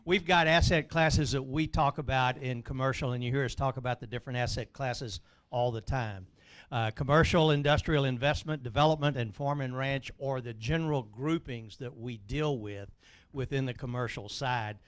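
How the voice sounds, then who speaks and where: A man explaining commercial real estate categories to a meeting in a methodical, list-by-list way. His voice sits at a middle pitch. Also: normal speed. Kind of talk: explanatory presentation.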